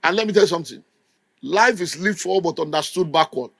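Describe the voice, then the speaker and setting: Emphatic Nigerian preacher, high voice. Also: male voice, fast talker, vocal fry, a bit bright, no room sound. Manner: emphatic, scolding, mimics people in quotes. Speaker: pastor. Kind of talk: sermon.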